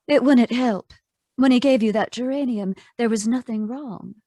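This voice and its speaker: A woman playing a cool, sardonic femme fatale in a 1940s-style radio noir, trading unhurried, taunting wisecracks. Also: a little high, dark tone, dry recording, studio quality, lightly acted.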